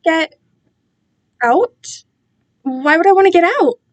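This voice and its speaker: Girl voicing a timid polite kid captive in a story, high little voice, totally obedient. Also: lively intonation, slow, heavily acted. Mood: innocent, confused. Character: meek, obedient, innocent captive boy.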